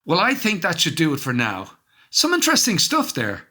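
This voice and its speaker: Polite professional tv interviewer guy, highish and super animated, radio comedy. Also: moderate pace, slightly rough, full character voice. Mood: briskly polite. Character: smooth-talking male TV show interviewer, briskly professional. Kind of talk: comedy radio drama acting.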